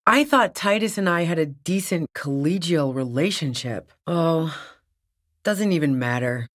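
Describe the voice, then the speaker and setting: An actress playing a tough, wary, sardonic woman on the run in a video game, speaking bluntly. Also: on the low side. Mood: baffled, bitter. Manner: blunt, sardonic, foul-mouthed. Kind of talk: video game character dialogue.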